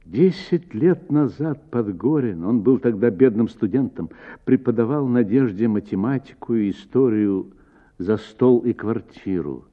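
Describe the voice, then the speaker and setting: A man reading a literary story for radio as an actor, with theatrical, varied phrasing and deliberate pauses. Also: medium pitch, lively intonation. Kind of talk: expressive dramatic audiobook narration for radio. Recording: narrow-band, phone-like sound.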